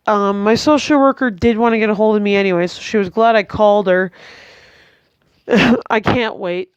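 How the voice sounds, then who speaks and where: A tired, congested young woman, giving a hesitant, chatty vlog update at home with many pauses and restarts. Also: mid-range voice, clear, resonant tone, no echo. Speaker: vlogger. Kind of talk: casual rambling update to camera.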